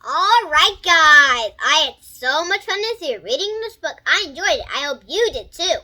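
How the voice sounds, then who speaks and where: A child reading a picture book aloud for other kids, with expressive, emphatic storytelling. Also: high-pitched, moderate pace. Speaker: host of a read-aloud channel.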